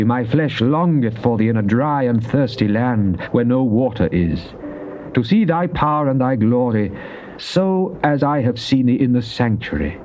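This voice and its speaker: A man acts a devout psalmist in a dramatized Bible recording, praying fervently. He declaims solemnly in measured phrases. The old cassette sound is dull, with a faint hiss behind him. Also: mid-range voice, a little mellow, close to an everyday voice. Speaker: scripture reader. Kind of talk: dramatized King James Bible reading.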